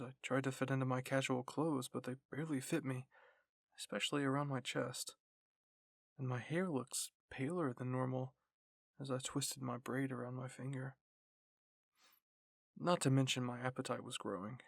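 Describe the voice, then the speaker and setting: A man reading a scripted first-person story in ASMR style, softly and in measured phrases. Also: mid-range voice, vocal fry, dry recording. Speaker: narrator and reader.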